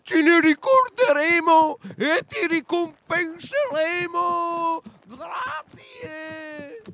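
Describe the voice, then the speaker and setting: Exclaiming fairy-tale crier, extremely high-pitched, slow and drawn out. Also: male voice, animated, creaky, a crowd in the background, full character voice. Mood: grateful, shouting. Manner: exclamatory, calling out. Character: high-voiced fairy-tale town crier and little creature.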